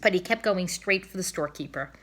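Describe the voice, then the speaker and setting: A woman reading a children's story aloud expressively, in deliberate, clearly phrased narration. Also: lowish voice, a bit bright. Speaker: storybook reader.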